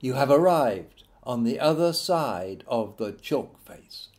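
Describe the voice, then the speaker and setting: A British man reading his own satirical book as an audiobook, with a wry, theatrical delivery and emphatic phrasing broken by deliberate pauses. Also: mid-range voice, lively intonation, darkish tone. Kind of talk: scripted audiobook narration of a satirical guide.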